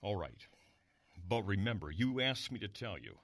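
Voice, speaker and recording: A man's voice: lowish voice, sing-song, moderate pace, fairly bright tone, no echo, clean studio sound, full character voice. A man playing a formal, insistent police detective in an audio drama, procedural and pointed. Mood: pointed, grave. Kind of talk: modern audio drama acting.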